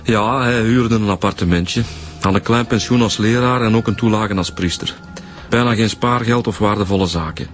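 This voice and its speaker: A man's voice: fairly low pitch, moderate pace, soft background music, natural voice. A man playing a matter-of-fact police detective in a naturalistic radio drama, with a Flemish accent.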